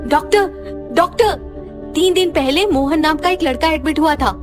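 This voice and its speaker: A determined, caring lady doctor in a cartoon horror story, played by a woman. She reassures and questions firmly in a high voice, with light music behind. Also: moderate pace, natural voice. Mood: firm, questioning. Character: determined, caring female doctor and wife, suspicious.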